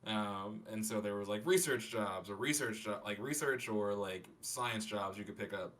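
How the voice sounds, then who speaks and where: Male podcast panelist, lowish voice, informal and slangy. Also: moderate pace, a bit bright, slight background noise. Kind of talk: casual podcast chat.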